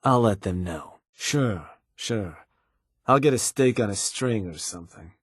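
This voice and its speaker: Husky, laid-back raider fixer. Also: male voice, fairly low pitch, lively intonation, unhurried, no echo, studio quality. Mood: matter-of-fact, wry. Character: laid-back, businesslike raider gang fixer advising his boss. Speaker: video game voice actor. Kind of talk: in-game character dialogue, conversational delivery.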